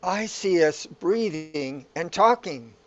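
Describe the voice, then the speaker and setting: A man's voice: sits high, expressive, slow pace. A man playing a befuddled, questioning hero in a radio play, with dull lo-fi sound. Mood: puzzled. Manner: puzzled, questioning. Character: befuddled bornless hero, questioning his unreal surroundings. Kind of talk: amateur radio play acting. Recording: dull lo-fi audio.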